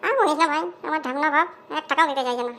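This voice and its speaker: A woman's voice: high voice, rapid-fire, a bit bright. A woman voicing a cheeky, scheming son in an amateur comedy cartoon, whiny and slangy. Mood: grumbling. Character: cheeky scheming son nagging his father for money.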